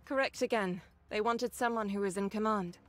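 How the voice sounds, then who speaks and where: An actress playing a calm, caring woman officer in an anime game, speaking in a measured, gently firm way. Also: medium pitch, close to an everyday voice. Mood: composed, businesslike. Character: calm, caring, mature female squad second-in-command.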